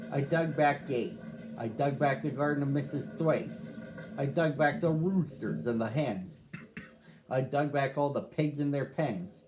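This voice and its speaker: A man reading a rhyming picture book aloud to children, with a slow, sing-song rhythm. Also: medium pitch. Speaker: storybook reader.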